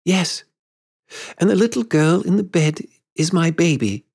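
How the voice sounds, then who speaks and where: Male narrator as a grown woman, storybook character, lifted high pitch, gentle, timid, apologetic, faltering. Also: lively intonation, unhurried, gravelly, husky, heavily acted. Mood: sad, confessing.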